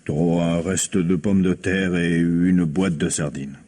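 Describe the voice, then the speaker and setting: A man's voice: low-pitched, steady tone, slow pace, warm tone. An actor playing an evasive, flustered husband in his thirties in a French radio mystery, hesitant, stammering and backtracking. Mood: flustered, defensive. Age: 30s.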